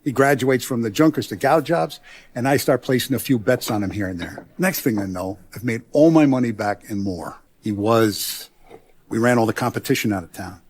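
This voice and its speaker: Video game mob boss, male, played by a man, middle-aged. Paternal but ruthless, deliberate, authoritative, streetwise, mid-pitched. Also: close to an everyday voice. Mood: businesslike, confiding. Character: commanding, paternal, proud mob boss, principled yet ruthless. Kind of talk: dramatic video game voice acting.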